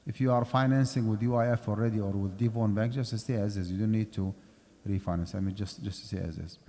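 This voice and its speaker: A man's voice: on the low side, fairly even intonation, brisk, dark tone, a little hiss or hum. A man giving a conversational lecture on Islamic finance in a mosque, explaining step by step and checking in with his listeners. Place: mosque prayer hall. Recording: long hall reverb.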